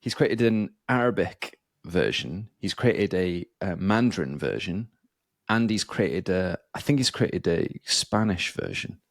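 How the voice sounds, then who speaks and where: Podcast host, male, very deep, loose rambling delivery full of fillers, dry mic. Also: expressive, measured pace, dry recording. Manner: rambling, filler-heavy, many restarts. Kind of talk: casual chat.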